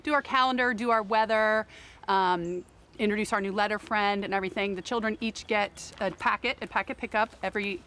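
A woman in her forties, a pre-K teacher, speaking in a warm, matter-of-fact way outdoors beside a building. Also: medium pitch, fairly even intonation, fairly quick, slight background noise. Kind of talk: interview for local TV feature.

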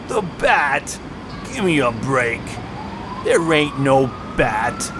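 An actor playing a cocky, scornful street mugger in an audio drama, full of slangy dismissal. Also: male voice, mid-range voice, sing-song, extremely slow. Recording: clear street ambience noise behind.

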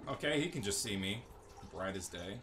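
A man's voice: fairly low pitch, expressive, normal speed, background noise. A man giving casual gameplay commentary in a joking, sarcastic, crude way. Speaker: gaming streamer.

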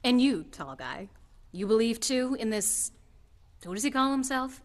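A woman's voice: on the high side, lively intonation, unhurried. A woman acting in an audio drama as a hard, suspicious queen of an underground gang, curt and commanding. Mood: wary. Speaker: actor.

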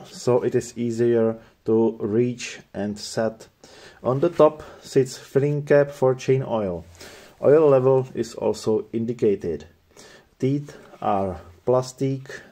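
A man's voice: mid-range voice, dark tone. A man narrating a scripted product review in a matter-of-fact way.

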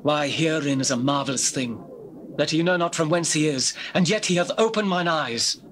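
A man plays an earnest, defiant beggar in a Bible audio drama. The voice is fairly high and plain-spoken, on old cassette with faint noise. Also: normal speed, fairly bright tone, natural voice. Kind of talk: dramatized scripture reading, stage-like acting. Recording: noisy old cassette tape recording.

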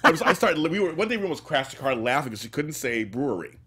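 A man's voice: on the high side, big pitch swings, fast talker, bright, forceful tone, husky. A middle-aged man hosting a studio interview, effusive and enthusiastic, speaking into a large mic. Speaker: host. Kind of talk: casual interview chat. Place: podcast studio. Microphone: large studio mic with headphones.

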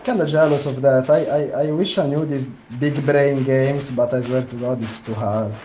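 A man's voice: mid-range voice, moderate pace, warm tone, highs cut off, a little hiss or hum. Laid-back young man on a headset. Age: young adult. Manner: laid-back, hesitant, lots of fillers. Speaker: speed date contestant. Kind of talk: casual chat. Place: indoor room. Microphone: headset on a video call.

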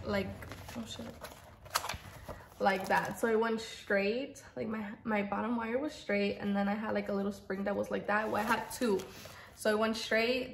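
A woman's voice: fairly high pitch, roomy sound, background noise. A woman in her twenties chatting casually to camera, a little stuffy from a cold, rambling with fillers and restarts. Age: 20s. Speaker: vlogger.

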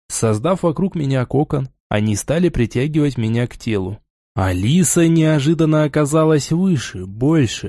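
A man narrating a fantasy novel in the first person, with measured, expressive storytelling. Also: medium pitch, dry recording, clean studio sound. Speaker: audiobook narrator.

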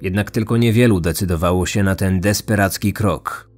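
A man narrating a scripted true-crime podcast as its host, measured and serious. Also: deep voice. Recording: music bed under voice.

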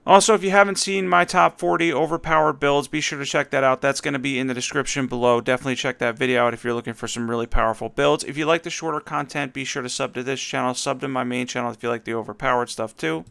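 A man talks fast and upbeat about a game build, his voice hoarse from a cold and full of gravelly fry. His tone sits bright and a little tense. A faint hiss of noise runs under him. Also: mid-range voice, fairly even intonation. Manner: upbeat, enthusiastic rundown. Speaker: gaming channel host. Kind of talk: game build commentary over gameplay.

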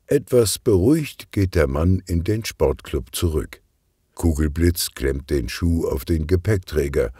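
A man narrating a children's detective story in an expressive, scripted audiobook style. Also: sits low, no room sound, studio quality. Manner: expressive, clearly articulated storytelling.

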